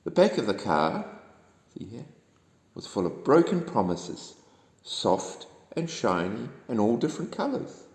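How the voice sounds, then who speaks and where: A low, highly animated male storyteller with a New Zealand accent reads to children. His delivery is dramatic and expressive, and the room rings with long reverb. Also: measured pace. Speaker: storybook reader.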